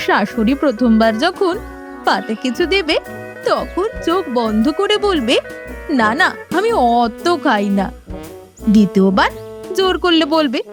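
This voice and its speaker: A woman playing a worldly-wise young sister-in-law with a Bengali accent, mocking and instructing in a comedy audio drama. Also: high-pitched.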